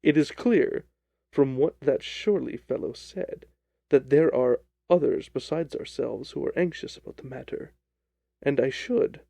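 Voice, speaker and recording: A man voicing a shrewd, self-assured gentleman detective with a measured, composed manner in a storybook reading. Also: mid-range voice, creaky, dry recording. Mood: composed, amused.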